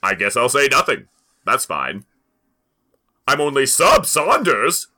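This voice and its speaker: A man's voice: mid-range voice, big pitch swings, slow, bright, forceful tone. A man co-hosting a comedy podcast, bantering casually about what he is reading. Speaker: podcast co-host.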